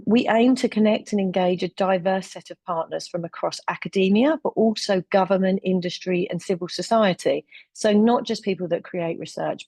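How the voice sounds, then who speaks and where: A woman's voice: on the low side, darkish tone, dry recording. A woman from London with a London accent, presenting a webinar as deputy director, earnest, with frequent ums and uhs. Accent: London English.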